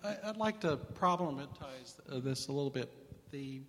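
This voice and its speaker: A man's voice: mid-range voice, expressive, moderate pace, a bit bright. A male psychiatrist makes his case on a panel, emphatic and lecturing as he presses a challenge. His voice sits in a mid range. A long reverb trails from the hall. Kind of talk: panel discussion. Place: large hall with an audience.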